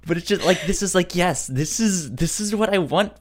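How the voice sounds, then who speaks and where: A podcast co-host chatting casually about a series, in a halting way that often repeats words and starts over. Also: medium pitch.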